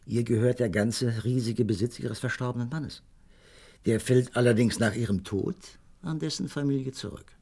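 Genial gentleman sidekick, gravelly, husky, wry, conversational, radio crime drama. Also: mid-range voice, normal speed. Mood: confiding, measured. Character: Doctor Watson, genial, well-informed gentleman friend of a detective.

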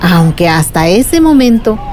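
Radio serial aunt: wise, patient, mid-pitched, explanatory, faint background noise. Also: female voice, very animated, measured pace, a little mellow. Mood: patient, earnest. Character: patient, wise village aunt recalling women's struggles. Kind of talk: radio drama acting.

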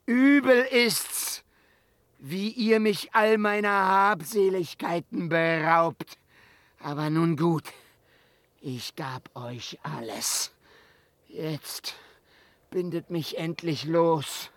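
An actor playing a bitter, scheming captive dwarf tyrant in a fantasy radio play, with theatrical delivery between hissed muttering and shrill commands. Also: male voice, drawn-out words, pauses often, a bit bright, dry recording, full character voice.

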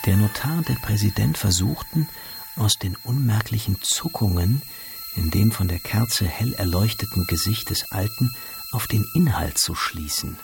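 A man reading scripted narration for a German radio drama in a creaky voice. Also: on the low side, expressive, moderate pace, background noise.

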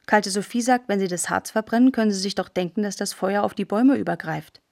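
A woman's voice: medium pitch, fast, creaky, a little mellow. A woman reading literary prose for radio as a narrator, in a terse, plain-spoken way.

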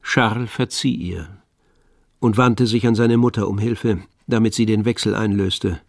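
An actor playing the detached, wry narrator of a literary radio play, with a gravelly voice. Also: male voice, lowish voice, fairly even intonation, measured pace, dry recording, studio quality.

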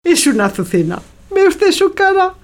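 A woman's voice: very high voice, sing-song, normal speed, slight background noise. An actress playing an effusive, scheming middle-aged mother in a theatrical farce, affected and full of exclamations. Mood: gushing, affected. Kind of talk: theatrical farce audio drama.

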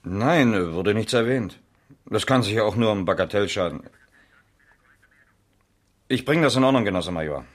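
A man's voice: on the low side, expressive, moderate pace, pauses often. A man acting as a dutiful, grumbling police investigator in a 1970s German radio crime drama, dry and official in manner. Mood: irritated, grumbling.

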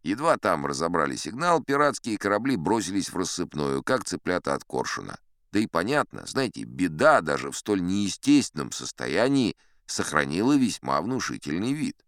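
An actor playing a seasoned, self-assured sea captain who tells tall tales in a wry, conversational way, as theatrical audio drama narration. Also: male voice, deep voice, very animated, normal speed, a bit bright, dry recording, studio quality, full character voice. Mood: wry storytelling. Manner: conversational, wry raconteur with rhetorical asides. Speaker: audiobook performer in character as storyteller.

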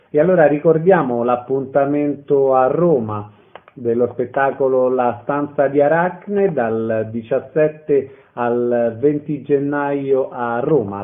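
A man hosts a radio interview in a thin, narrow-band sound like a telephone. His pitch is average for a male voice. He moves between conversational questions and announcements.

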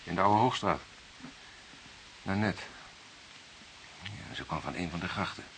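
Nervous, very deep-voiced Dutch suspect. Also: male voice, unhurried, fairly bright tone, poor audio. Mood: uneasy, explaining. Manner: hesitant, stammering, repeats words. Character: nervous, defensive lovelorn male murder suspect. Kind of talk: Dutch radio drama acting. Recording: hissy radio play recording.

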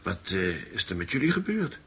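A man's voice: sits low, huge pitch swings, normal speed, fairly bright tone, slightly rough, heavily acted. An actor in a radio drama playing a polite, hesitant man who seeks out old comrades. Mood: apologetic, polite. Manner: courteous, hesitant.

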